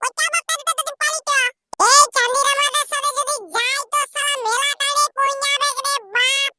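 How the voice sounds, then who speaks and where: A performer voicing a brash, slangy young village lad in a comedy cartoon, with a Purulia accent and a squeaky pitched-up voice. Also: little pitch movement, fairly quick. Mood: brash, bantering. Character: rowdy young village lads squabbling and teasing, cartoon. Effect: pitched-up voice filter. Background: loud music.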